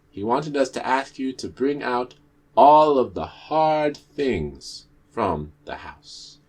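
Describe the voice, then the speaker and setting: A man voicing stern bird guards who deliver a message formally and deliberately. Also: medium pitch, a little mellow, voice pushed up.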